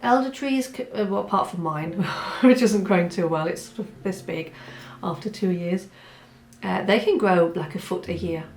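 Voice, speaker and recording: Hoarse, chatty woman in a roomy space. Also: on the low side, moderate pace, darkish tone. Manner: chatty, rambling with many asides. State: hoarse from a cold. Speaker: vlogger. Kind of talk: casual chat. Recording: roomy echo with faint music.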